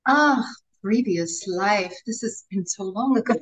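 A middle-aged Austrian woman whose first language is German, speaking as an interview guest. Her voice sits at a mid pitch, and she talks in an animated, chatty way. She laughs often and stumbles over repeated words as her thoughts start. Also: unhurried, husky, low-quality recording. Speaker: guest, life coach. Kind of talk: casual interview chat.

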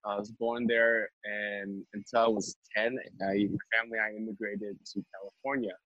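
A man's voice: fairly low pitch, flat delivery, normal speed, no echo, dull audio. A young man from Vietnam, an MBA student guest, talking casually with lots of fillers and restarts. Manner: chatty with frequent fillers and restarts. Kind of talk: casual conversational interview.

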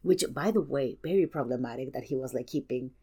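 A woman in a casual film discussion, speaking earnestly and emphatically and pausing to search for words. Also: a bass voice, dark tone, breathy edge. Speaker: podcast guest.

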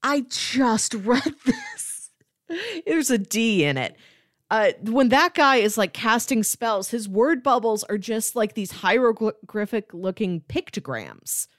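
A woman's voice: fairly high pitch, big pitch swings, takes it slowly, dry recording, clean studio sound. A woman co-hosting a podcast, chatting casually about books and acting out bits as she goes. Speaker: podcast co-host discussing comics. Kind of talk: casual chat, book review.

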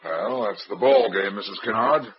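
Man doing a wisecracking tough-guy private eye, lowish voice, dull old radio recording. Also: expressive, moderate pace, natural voice. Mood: wry. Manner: wry, clipped tough-guy delivery. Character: wisecracking hard-boiled male private eye. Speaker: narrator and lead detective. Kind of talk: 1940s radio acting.

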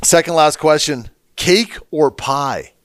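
A man hosting a casual interview, chatty and folksy, firing off quick questions and encouraging replies. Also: a little high, clear, resonant tone, dry recording, studio quality. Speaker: podcast host.

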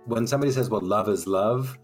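Low-voiced man in candid, reflective conversation. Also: quick pace. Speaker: discussion participant. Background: music.

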